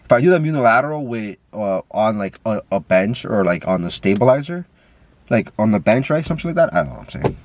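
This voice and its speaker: A man's voice: on the low side, big pitch swings, fast talker, darkish tone, dry recording. A man with a stuffy nose, talking in a casual, rambling way with lots of fillers and swearing, filmed on a camera while driving. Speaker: vlogger. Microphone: camera mic.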